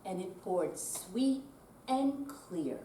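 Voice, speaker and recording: A woman's voice: medium pitch, animated, very slow, over a noisy crowd. An older woman doing an amateur dramatic reading in a senior center room. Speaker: amateur readers theater performer. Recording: distant, echoey room with faint noise.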